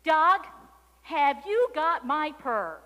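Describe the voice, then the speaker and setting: A woman voicing a small, plaintive female kitten with pleading, drawn-out questions in a storybook reading. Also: very high-pitched, very animated, a bit bright, long reverb tail, pitched above the performer's own voice, exaggerated voice. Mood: eager, questioning.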